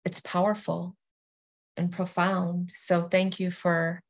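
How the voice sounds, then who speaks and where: A woman introducing a guided meditation as a yoga nidra teacher, speaking gently and deliberately with long pauses. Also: lowish voice, monotone.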